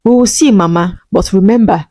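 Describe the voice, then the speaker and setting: A woman acts out a wronged young mother in a storybook drama. She is tired and hurt but firm and reproachful, at a medium pitch in a very dry recording. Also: normal speed, dark tone, no echo, close to an everyday voice. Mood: stern.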